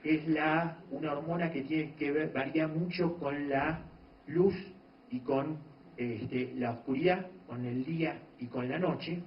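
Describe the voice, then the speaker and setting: A man lectures an audience in a steady, explanatory way, often pausing mid-phrase. His voice sits a little high for a man. The recording is dull and lo-fi, with a roomy echo around him. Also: a little hiss or hum. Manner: measured, explanatory, frequent pauses and restarts. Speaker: doctor giving a talk on meditation. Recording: echoey room, dull lo-fi sound.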